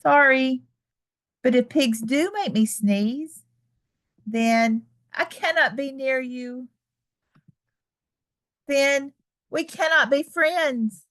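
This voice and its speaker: A woman voicing an anxious, dramatic male elephant in a children's picture book, in a halting, exclaiming way. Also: high voice, fairly bright tone, full character voice. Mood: mournful. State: sneezing, acted.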